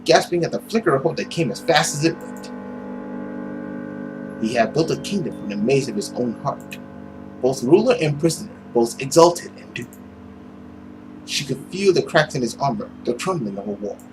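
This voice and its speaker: A man hosting a gaming video, moving between dramatic story reading and casual commentary in an expressive, theatrical way. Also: medium pitch, normal speed, long pauses, over music, low-quality recording.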